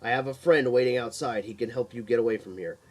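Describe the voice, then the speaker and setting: A man's voice: mid-range voice, fast, darkish tone, lightly acted. A man voicing a grim, protective young male hero in a supernatural story, terse and resolute. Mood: commanding.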